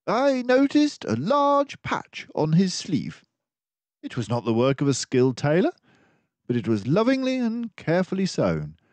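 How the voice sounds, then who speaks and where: Here is a man reading aloud as a storybook mouse detective, confident and formal. The voice sits high above a normal man's and swings widely as it lectures with precise self-assurance. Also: wildly swooping pitch, unhurried, dry recording, heavily acted. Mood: confident, explaining.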